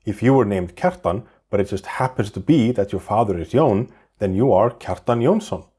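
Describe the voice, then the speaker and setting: A man from Iceland with an Icelandic accent, narrating a scripted explainer video in a lively, wry, chatty way. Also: on the low side, moderate pace, slightly rough. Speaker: video host.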